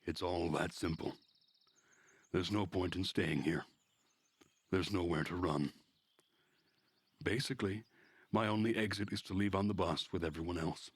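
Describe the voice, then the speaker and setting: A man reads visual novel narration aloud in a measured, introspective manner. His voice sits very low and has a husky grain. The sound is close and dry, with no room on it. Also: fairly even intonation, dry recording. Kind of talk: narration of a story read aloud.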